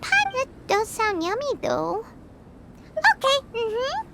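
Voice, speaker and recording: A woman's voice: sing-song, slow pace, heavy background noise, full character voice. A woman voicing a tiny, bubbly female game companion who is nosy and chirpy, in game voice acting. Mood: curious, chirpy. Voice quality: very high, squeaky, childlike. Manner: chirpy, nosy, food-obsessed. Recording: game audio with clear music behind. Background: game music.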